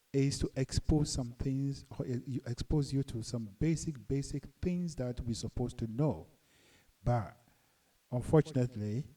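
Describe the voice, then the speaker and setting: A middle-aged Ghanaian man lectures his viewers in a deliberate, earnest way, pressing hard on his key points. His voice is very dark and mellow, and he sits a bit back from the microphone. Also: mid-range voice, far from the mic, poor audio. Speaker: channel host and commentator. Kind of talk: lecturing monologue.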